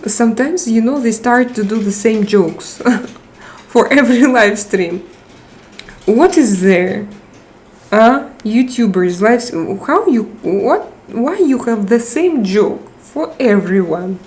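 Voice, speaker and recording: A middle-aged woman with a Russian accent chatting casually on a live stream, halting and restarting often as she searches for words. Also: fairly high pitch, lively intonation, a little mellow. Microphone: tablet microphone.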